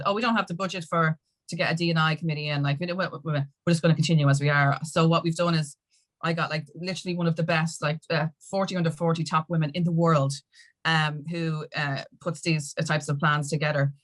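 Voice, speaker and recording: Low-pitched Irish woman in conversation. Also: fairly even intonation. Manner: rambling, halting, with many "you know"s. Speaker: podcast guest, activist. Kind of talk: conversational interview.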